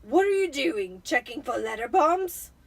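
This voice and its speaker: A woman voicing a bullying, blustering middle-aged uncle in a dramatic storybook reading, with a shouting, sneering manner. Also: high-pitched, sing-song, unhurried, husky, pitched above the performer's own voice, full character voice.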